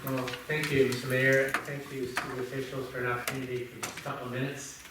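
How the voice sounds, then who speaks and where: A man from Indonesia, a visiting development consultant, giving a measured and formal public address to a city council. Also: medium pitch, flat delivery, noisy background. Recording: distant voice in echoey council chamber.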